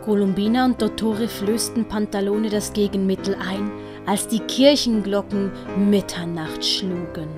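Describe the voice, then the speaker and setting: A woman's voice: medium pitch, steady tone, unhurried. Swiss German woman narrating over prominent music. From Switzerland. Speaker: narrator and author of an audiobook. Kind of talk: scripted storybook narration. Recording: music behind the voice. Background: music and sound effects.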